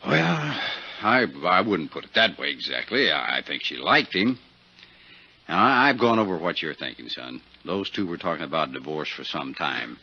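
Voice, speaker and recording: An actor playing a folksy, easygoing older small-town Western sheriff in a 1950s radio drama. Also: male voice, low voice, very animated, normal speed, bright, forceful tone, breathy edge, full character voice. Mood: frank, reflective. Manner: folksy, drawling out hesitations. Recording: dull 1950s radio recording.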